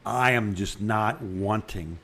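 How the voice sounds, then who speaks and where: A man's voice: lowish voice, slow, sharp tone, slightly rough. A man in his sixties chatting casually as a podcast co-host, telling anecdotes in a rambling way with repeated phrases and restarts. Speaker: podcast co-host, retired radio broadcaster.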